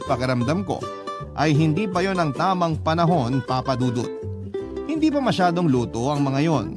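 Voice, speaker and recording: A man narrates his own story in a confiding first-person tone, as if telling it to a radio host. His voice sits a little high. Guitar music plays clearly underneath the whole time. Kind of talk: scripted radio drama narration.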